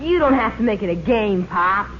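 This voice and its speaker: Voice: medium pitch, expressive, moderate pace, fairly bright tone, lightly acted. A young performer playing a cheeky, guileless boy in a 1940s radio family comedy. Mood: eager, guileless. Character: cheeky young boy, eager son of the household. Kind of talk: 1940s radio sitcom acting. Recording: noisy, muffled old radio recording.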